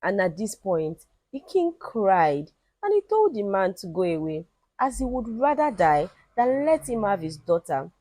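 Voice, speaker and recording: A woman telling a folktale in an expressive, emphatic and dramatic storytelling style. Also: mid-range voice, unhurried, dry recording, rough recording.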